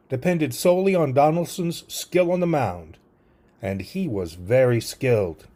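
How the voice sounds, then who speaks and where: Casting: male essay reader with a dark, relaxed tone, middle register and measured, articulate delivery. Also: medium pitch. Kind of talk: scripted essay narration.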